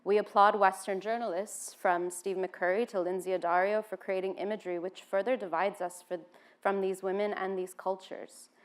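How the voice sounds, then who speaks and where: American woman, talk speaker, relaxed conversational delivery, lots of "um"s, mid-pitched. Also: normal speed. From United States (Washington, D.C.). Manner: conversational, with frequent "um" fillers. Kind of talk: informal seated talk to an audience.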